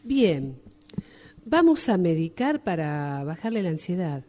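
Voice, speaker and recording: A woman's voice: fairly low pitch, very animated, a little slow, warm tone. A woman playing a solemn, probing psychiatrist in a comic radio drama.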